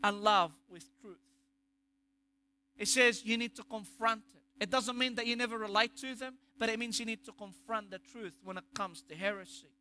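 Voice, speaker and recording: A man's voice: high voice, normal speed, bright, forceful tone, a little hiss or hum. A man with an Egyptian accent preaching a sermon, emphatic, asking rhetorical questions and restarting phrases. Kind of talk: sermon on scripture.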